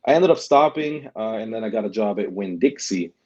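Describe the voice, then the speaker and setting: A man's voice: lowish voice, lively intonation, a little fast, a little mellow, lo-fi sound. A young man talking casually as a guest, rambling with many restarts and tags like "right?". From Germany. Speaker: guest, delivery driver and vlogger. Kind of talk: casual interview chat.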